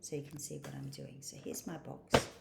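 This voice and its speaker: A woman's voice: rumbling low, very animated, normal speed, creaky, echoey room. A woman casually talking through each small step of a craft, recorded on a computer microphone with faint noise. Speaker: craft activity presenter.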